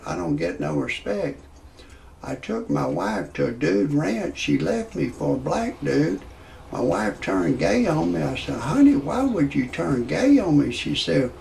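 A man, telling a rambling parody comedy monologue in a halting way, full of "uh" fillers and restarts. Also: fairly high pitch. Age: 60s. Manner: slow, halting delivery with many "uh" fillers and restarts; gravelly voice. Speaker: comedy storyteller.

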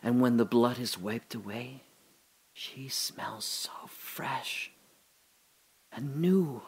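An actor playing a dying older father, telling his memories in a halting, breathy dramatic monologue that drops to whispers. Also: medium pitch, a little mellow, a little hiss or hum. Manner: halting, breathy, emotional, many pauses and restarts. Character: dying old father recalling his daughter's birth.

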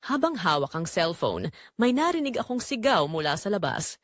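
A woman's voice: lowish voice, big pitch swings, moderate pace, dry recording, studio quality. A woman narrating a scripted audio drama in the first person, with a suspenseful, emotive delivery.

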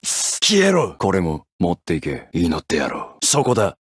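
A man voicing a brooding, scornful video game gunman who longs for death, with a menacing, listless, husky delivery. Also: deep voice, huge pitch swings, measured pace, no room sound, studio quality, full character voice. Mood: cold, scornful. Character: brooding death-seeking male gunman, scornful, longing for rebirth. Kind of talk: anime-style video game voice acting.

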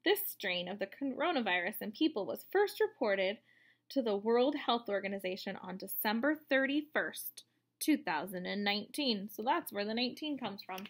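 A woman in her thirties reading a picture book aloud to children, explaining as she goes in an expressive way. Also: fairly high pitch. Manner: expressive, explanatory, addressing young listeners. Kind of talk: read-aloud storytelling for children with asides. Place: room at home, indoors.

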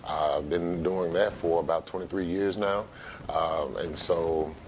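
A middle-aged American man giving casual interview answers as a guest, with halting, conversational phrasing. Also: steady tone, poor audio. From Memphis, United States. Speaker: interview guest, sports arena announcer. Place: living room, seated on couch. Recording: faint low background noise.

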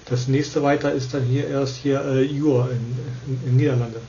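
A man's voice: mid-range voice, flat delivery, moderate pace. A man giving brief, casual replies as an amateur radio operator. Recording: muffled, noisy lo-fi radio sound.

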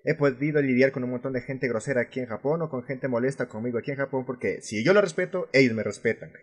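A man's voice: mid-range voice, close to an everyday voice. Man acting an angry, sarcastic Latin American ranter. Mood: explaining. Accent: Latin American Spanish. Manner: sarcastic, confrontational, swearing, emphatic. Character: furious, foul-mouthed male expat vlogger ranting about rude tourists. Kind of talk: fan dub of a vlogger's angry rant.

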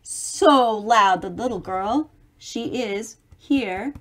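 Middle-aged woman, high voice, slow pace, theatrical children's read-aloud, chatty asides. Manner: theatrical, exclaiming, stretching words for young listeners. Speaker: children's storybook reader. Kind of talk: expressive read-aloud of a picture book with chatty asides on the pictures.

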